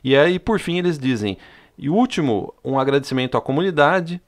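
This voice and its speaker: A man's voice: fairly high pitch, animated, a little fast. A Brazilian man hosting a casual podcast, chatty and promotional, often checking in with his co-host. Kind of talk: casual chat. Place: home.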